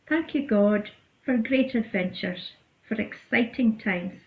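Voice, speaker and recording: A woman reading a bedtime story for a church in a slow, soothing, expressive way. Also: a little high. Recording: roomy echo.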